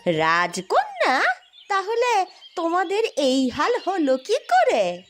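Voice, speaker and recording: A woman's voice: very high voice, huge pitch swings, slow, faint music behind, exaggerated voice. A woman voicing a nosy old village cleaning woman in a cartoon folk tale, complaining and exclaiming in a folksy way. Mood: astonished. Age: older. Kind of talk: cartoon fairy-tale voice acting.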